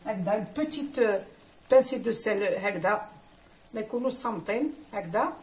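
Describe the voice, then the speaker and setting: A woman's voice: mid-range voice, expressive, fast talker. A Kabyle-speaking woman hosts a home cooking tutorial, switching between French and Arabic with many hesitant fillers. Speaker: cooking channel host.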